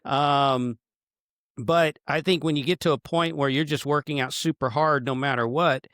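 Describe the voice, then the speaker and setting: A man, a running coach, talking casually on a podcast in a halting, joking way with many restarts. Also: a little high, steady tone, fairly bright tone, no echo, clean studio sound.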